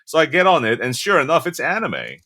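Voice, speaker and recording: A middle-aged man from Korea, a voice actor guest chatting casually about his path and tastes, animated and often chuckling. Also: a little high, fairly quick, no room sound, studio quality. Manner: animated, chuckling, self-correcting. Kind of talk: casual interview chat.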